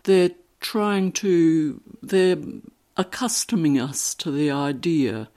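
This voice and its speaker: A woman answering interview questions in a measured, articulate, dryly critical way. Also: low voice, lively intonation, dark tone. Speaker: activist interviewee.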